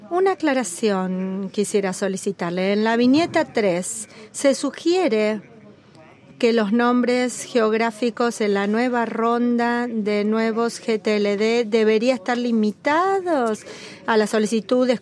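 A woman interpreting a formal meeting as it happens, in a measured, halting delivery that stops often to catch up with the speaker. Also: a little high, darkish tone. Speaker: simultaneous interpreter at a policy meeting.

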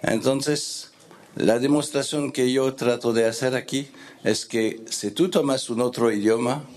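A man with a French accent addressing a meeting, halting and searching for words as he goes. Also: mid-range voice, fairly even intonation, darkish tone. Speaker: board member speaking at a meeting. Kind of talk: meeting remarks. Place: meeting room.

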